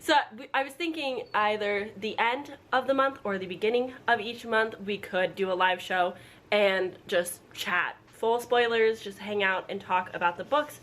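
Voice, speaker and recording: A woman's voice: medium pitch, moderate pace, a bit bright. A woman chatting casually to camera as a book vlogger, informal and rambling, with frequent asides. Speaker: book vlogger announcing a readalong. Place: at home indoors. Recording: background room noise, household appliance.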